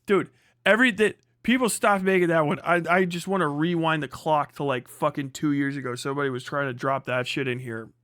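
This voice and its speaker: A man with a high-pitched voice hosts a livestream, recorded close and dry. He rants off the cuff in casual reaction commentary, swearing freely and mocking as he rambles. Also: brisk, a bit bright, no echo. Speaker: livestream host.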